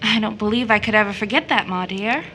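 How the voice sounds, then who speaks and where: A woman's voice: medium pitch, fast talker, pushed and bright, a little hiss or hum. A woman playing an earnest, affectionate but troubled young daughter in an audio drama. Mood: pensive.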